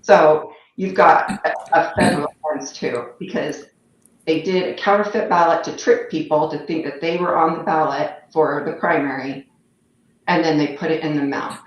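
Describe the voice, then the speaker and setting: A woman from Utah giving emphatic, insistent, unscripted political commentary, recorded with muffled, echoing sound. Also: deep voice. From Utah, United States. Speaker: political panelist. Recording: muffled, heavily echoing room audio.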